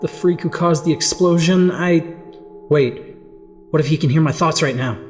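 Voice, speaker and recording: A woman playing a rattled, excitable teenage boy with superpowers in an audio drama, speaking emotionally in casual slang. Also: low-pitched, normal speed, faint music behind, natural voice. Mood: anxious, pleading.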